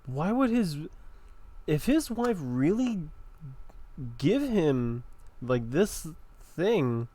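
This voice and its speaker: A game streamer giving blunt, halting, casual reactions with swearing, close on the mic. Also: medium pitch, big pitch swings, mellow, dry recording. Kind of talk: casual reaction commentary over gameplay.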